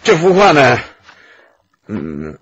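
An actor playing a nervous, cornered gallery owner in a radio drama, stammering and hesitant. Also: male voice, mid-range voice, huge pitch swings, takes it slowly, a bit bright, breathy edge, no echo, lo-fi sound, heavily acted. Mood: sheepish, confessing. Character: nervous, cornered male gallery owner who forges paintings. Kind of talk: Chinese radio drama acting.

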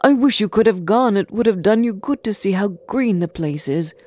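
A woman voicing a gentle, emotional, grieving father in a storybook reading. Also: mid-range voice, animated, moderate pace, close to an everyday voice. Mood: wistful. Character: tender, sorrowful grieving father.

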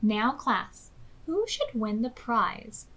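An American woman in her thirties hosting storytime, reading aloud expressively and clearly to young children. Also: a little high, very animated, slow pace, fairly bright tone, lo-fi sound. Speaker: children's storytime host at a public library. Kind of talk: read-aloud storytelling for preschoolers. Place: small furnished room indoors.

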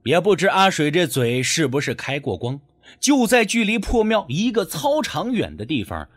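A man narrating a first-person story as an audiobook, in an expressive, theatrical way. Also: a little high, normal speed. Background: background music.

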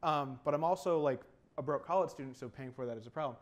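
A young man talks quickly in a mid-range voice. He is a co-host giving his opinions in a relaxed chat about video games. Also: medium pitch. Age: 20s. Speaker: talk show co-host. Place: small TV studio, seated.

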